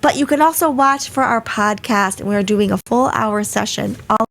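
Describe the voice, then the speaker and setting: A woman with a high voice hosts a live webinar from a small studio. She sounds a little hoarse from a cold. She talks in a chatty way and greets attendees one after another. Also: normal speed, a bit bright, slight background noise. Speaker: webinar host. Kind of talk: casual live webinar hosting. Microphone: studio mic.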